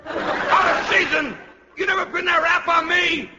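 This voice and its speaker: An actor playing a boisterous, cocky fire-breathing dragon, loud and hammy, in 1950s radio comedy style. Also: male voice, extremely high pitch, steady tone, unhurried, over a noisy crowd. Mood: indignant. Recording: dull noisy old 1950s radio recording.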